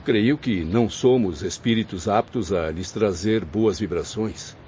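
An actor playing an anguished, restless male spirit in a radio serial, speaking in slow, plaintive, formal phrases. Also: on the low side, darkish tone, lightly acted. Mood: troubled, despondent. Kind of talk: dramatic radio serial acting. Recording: muffled audio with faint background noise.

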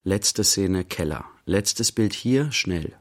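A man in a radio play, playing a detached observer who recites terse image descriptions in clipped, deliberate phrases. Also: sits low, expressive, exaggerated voice. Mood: terse, observing.

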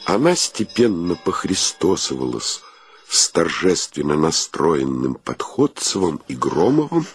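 An actor narrating a comic literary tale as a wryly solemn storyteller in a radio play. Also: male voice, extremely low pitch, sing-song, unhurried, husky, exaggerated voice.

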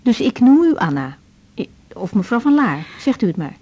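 Lead female doctor, radio drama: young, mid-pitched, caring, reflective, gently hesitant. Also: big pitch swings, normal speed, breathy edge, highs cut off. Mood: attentive. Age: young adult. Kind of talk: radio drama dialogue and diary-like narration.

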